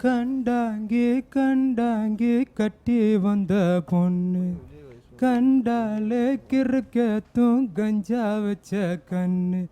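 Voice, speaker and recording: A woman's voice: on the high side, extremely slow, warm tone, soft background music. A woman singing as an audition contestant.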